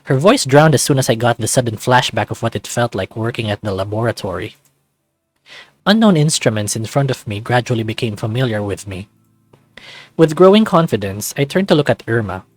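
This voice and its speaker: Animated man narrating a visual novel. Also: medium pitch, brisk, no room sound. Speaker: let's play host. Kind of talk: reading a visual novel aloud.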